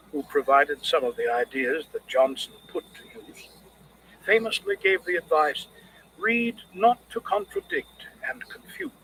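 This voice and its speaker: A man reading scripted audiobook narration in a measured, literary, expressive manner. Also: fairly high pitch, slight background noise, rough recording.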